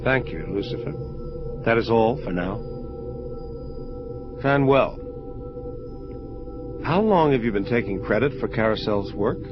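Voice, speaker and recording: An actor playing a probing, insistent angel investigator in an audio drama, terse and questioning in delivery. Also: male voice, mid-range voice, expressive, a little slow, long pauses, close to an everyday voice. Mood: stern, questioning. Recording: muffled lo-fi audio drama with music. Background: prominent music.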